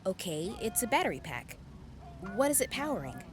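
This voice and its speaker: An actress playing a curious young woman soldier in an audio drama, asking quick, friendly questions. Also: medium pitch, sing-song, over loud music, close to an everyday voice.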